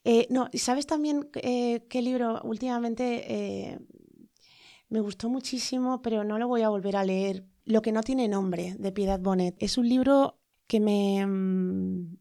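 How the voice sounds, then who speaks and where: A woman's voice: a little high, fairly even intonation, unhurried, warm tone, no room sound, studio quality. A middle-aged woman with a Spanish accent from Spain, speaking as an interview guest in a reflective, hesitant way with many fillers and tag questions.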